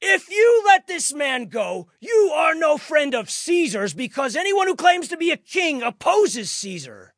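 A man voicing an angry mob of priests demanding an execution in a dramatic scripture telling, shouting vehemently. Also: extremely high pitch, very animated, measured pace, hardly any pauses, dry recording, studio quality, pitched above the performer's own voice, heavily acted. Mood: furious shouting.

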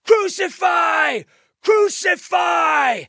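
A man voicing an angry mob of priests demanding an execution in a dramatic scripture telling, shouting vehemently. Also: extremely high pitch, expressive, drawn-out words, no room sound, studio quality, voice pushed up, exaggerated voice. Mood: furious shouting.

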